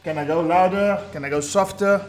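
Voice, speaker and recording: A man with a booming voice hosting a live tournament stream, hyped and talking straight to his chat. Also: normal speed. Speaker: tournament host. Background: faint background music.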